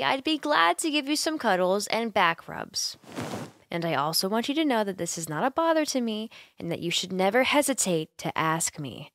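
A woman's voice: mid-range voice, no echo. A caring young woman roommate, acted by a woman in an ASMR roleplay, recorded close and dry. Her intonation swings freely as she coaxes and teases her friend, hesitant at times and playful. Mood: affectionate, coaxing. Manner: playful, gently coaxing, giggly, hesitant. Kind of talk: ASMR roleplay, intimate one-sided conversation.

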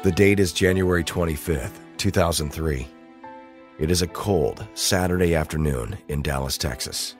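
A man's voice: rumbling low, normal speed, soft background music. A man giving scripted, dramatic narration as the narrator of an audio drama.

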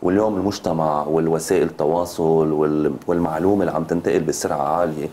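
A Lebanese man in his fifties speaks as an interview guest in a deep, soft-edged voice. He talks very quickly and insistently, often repeating phrases. There is some noise behind him. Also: steady tone. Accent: Lebanese Arabic. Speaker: road safety advocate, TV guest. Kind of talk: studio interview. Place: TV studio. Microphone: lapel mic.

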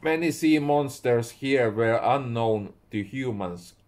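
Slow halting Finnish-accented man reading. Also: medium pitch, darkish tone. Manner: halting, rereads and corrects his words. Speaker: author reading his own book. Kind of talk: slow reading of a story aloud. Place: small room indoors at home.

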